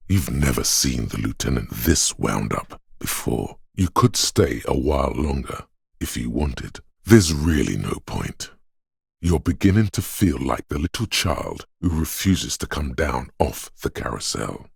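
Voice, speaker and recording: A super low husky voice of willpower for a game, stern mentor in your head, solemn, gravelly. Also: male voice, sing-song, a little slow, dry recording, studio quality, exaggerated voice. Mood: grave reproach. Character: inner voice of willpower, steadfast, stern yet encouraging mentor. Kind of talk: scripted game narration, second-person counsel.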